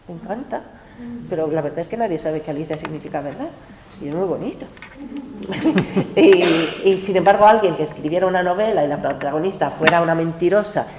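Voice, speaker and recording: A woman's voice: fairly low pitch, echoey room, noisy background. A woman translator talking candidly and with life at a book club, laughing often. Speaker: literary translator.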